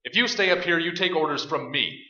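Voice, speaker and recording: Man acting a forceful, commanding leader. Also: on the high side, a little fast. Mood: commanding, angry. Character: commanding, protective, no-nonsense man taking charge. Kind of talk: staged radio play acting. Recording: dull, echoey, noisy stage recording.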